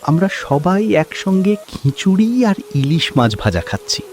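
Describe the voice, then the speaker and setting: Man acting a slow-talking, sincere village fellow. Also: sits high, very animated, darkish tone, full character voice. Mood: happy. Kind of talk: cartoon fairy-tale dialogue. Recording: music bed behind voice.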